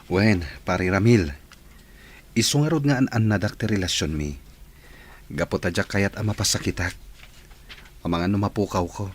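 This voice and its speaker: A man's voice: fairly low pitch, moderate pace, long pauses, slightly rough. A man playing a devoted, easygoing young boyfriend in an Ilocano radio drama. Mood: earnest, loving.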